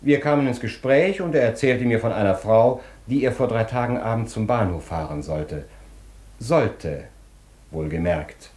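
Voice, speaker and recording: A man plays an obsequious hotel porter in a radio play, sounding roomy and reverberant. His voice is fairly low. He talks deferentially, with insinuating, gossipy turns. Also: normal speed, darkish tone, lightly acted. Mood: confiding, insinuating. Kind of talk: German radio play acting.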